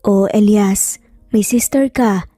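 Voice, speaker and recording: A woman's voice: medium pitch, takes it slowly, mellow, no room sound, studio quality, faint music behind. A woman voicing an anxious, bewildered, tender young mother in a dramatic audio story.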